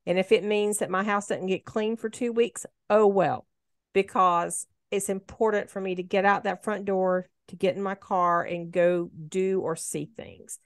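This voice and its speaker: A woman's voice: medium pitch, fairly even intonation, a little slow, no room sound, clean studio sound. A woman of about sixty, talking earnestly in a casual conversation and giving advice. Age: 60s. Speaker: guest sharing her story.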